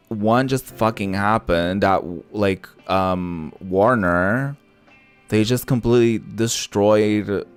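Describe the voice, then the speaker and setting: A man's voice: sits low, clean tone. A man who is a native Portuguese speaker, giving casual gameplay commentary in a sassy, opinionated way, swearing freely. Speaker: gaming content creator playing a visual novel on stream. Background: background music.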